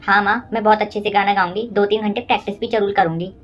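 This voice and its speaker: A woman's voice: mid-range voice, steady tone, fast, soft background music, natural voice. A woman voicing polite, chatty young women of a neighbourhood in a cartoon moral story, explaining things politely. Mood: confident.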